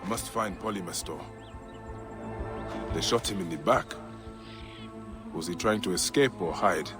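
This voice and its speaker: Stern egyptian warrior for a game, kinda low voice, measured and determined, music behind. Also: male voice. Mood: grim, focused. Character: grave, determined Egyptian warrior investigating crimes. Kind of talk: video game voice acting.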